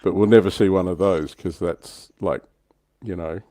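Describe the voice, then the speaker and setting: A man's voice: deep voice, fairly even intonation, normal speed, mellow, dry recording. A man with an Australian accent hosting a livestream in a casual hobby discussion. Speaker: livestream host.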